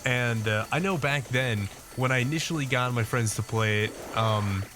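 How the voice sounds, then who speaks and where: A man's voice: mid-range voice, normal speed, background noise. A man casually commenting on his gameplay as a video host, rambling with many fillers and restarts, his nose a bit congested.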